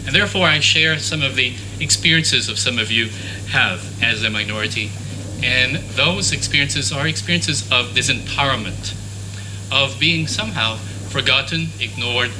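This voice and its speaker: A man whose first language is French delivers a formal conference lecture in a university hall. His speech is measured, with the occasional restart. The recording carries steady background noise. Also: medium pitch. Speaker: conference speaker. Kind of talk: academic lecture. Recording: noisy conference room recording.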